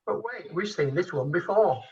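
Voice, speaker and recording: A man playing a blustering, henpecked emperor in a rhyming pantomime, with a husky, forceful voice. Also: high voice, lively intonation, moderate pace, recorded from a distance, dull audio, slight background noise. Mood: indignant. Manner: blustering, imperious. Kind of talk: rhyming amateur pantomime acting.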